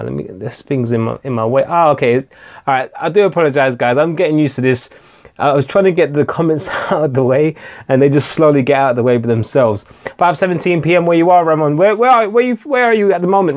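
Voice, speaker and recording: Chatty man hosting a live stream. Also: on the high side. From England. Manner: chatty, hesitant, many fillers and repeated starts; reads viewer comments aloud and answers them. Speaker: tech channel host.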